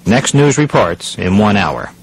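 Low-voiced formal radio newsreader, played by a man. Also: big pitch swings, gravelly, full character voice. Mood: sober, formal. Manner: formal, measured announcer delivery. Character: sober male radio news reader and station announcer. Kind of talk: scripted radio news bulletin. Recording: noisy radio broadcast sound.

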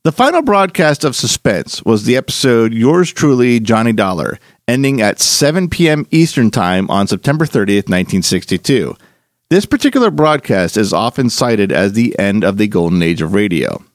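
A man's voice: on the low side, big pitch swings, normal speed, no echo, studio quality. A man hosting a podcast, reading a scripted introduction in a presentational, announcer-like way. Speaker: podcast host. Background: music.

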